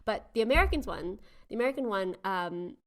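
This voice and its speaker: A woman's voice: medium pitch, lively intonation, fairly quick. A woman co-host chatting casually and informally, often acting out imagined reactions. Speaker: podcast co-host. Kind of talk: casual chat.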